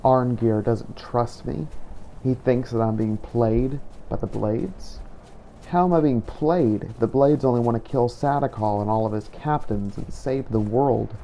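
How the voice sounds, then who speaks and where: A man doing in-character role-play commentary over a video game, brooding and deliberate in short, terse statements. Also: mid-range voice, vocal fry, mellow. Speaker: game player narrating as his character. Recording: faint noise behind voice.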